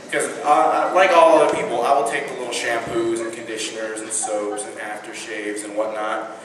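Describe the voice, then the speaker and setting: Teenage boy doing stand-up in an echoey hall. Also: medium pitch. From Indiana. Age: teens. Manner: self-deprecating, punchy delivery. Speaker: stand-up comedian. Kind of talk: stand-up routine. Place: large indoor venue with audience. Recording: echoey hall from far off with noise.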